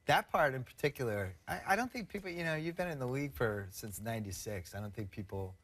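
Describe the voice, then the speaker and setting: A man's voice: mid-range voice, expressive, fast, a bit bright. A male host asking casual interview questions with long, chatty setups.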